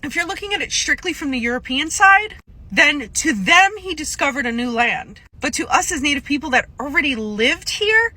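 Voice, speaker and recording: A woman's voice: high-pitched, expressive, normal speed, pushed and bright. A woman from the United States giving an emphatic, explanatory opinion commentary straight to her viewers. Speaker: vlogger.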